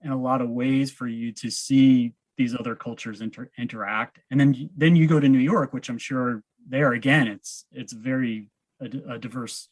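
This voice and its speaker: A man's voice: medium pitch, fairly even intonation, moderate pace, no echo, poor audio. Podcast host: American man, mid-range voice, informal and reflective, dry studio-close sound. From Massachusetts, United States. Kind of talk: casual interview conversation.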